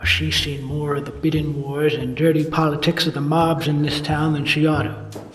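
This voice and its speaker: An actor playing a weary, wisecracking 1950s police detective in a hardboiled noir radio play, narrating with wry fatigue. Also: male voice, a little high, flat delivery, normal speed, reverberant, soft background music, close to an everyday voice, poor audio. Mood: brooding, wry.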